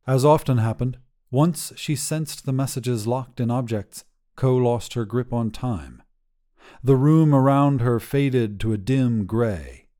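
A man narrating scripted literary fiction in a measured, dramatic storytelling manner. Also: mid-range voice, mellow. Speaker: narrator reading short fiction.

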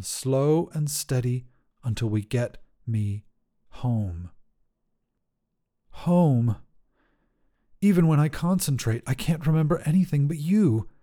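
A man narrating first-person fiction as a desperate, oxygen-starved astronaut, in deliberate, intense, measured phrases. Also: a little high, dark tone. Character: desperate oxygen-starved astronaut telling his own story. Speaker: story narrator.

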